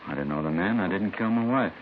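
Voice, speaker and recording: An American actor playing an indignant, evasive accused husband in a 1950s radio crime drama. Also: male voice, low voice, lively intonation, quick pace. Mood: defensive. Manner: defensive, dismissive denials. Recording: tinny, hissy 1950s radio recording.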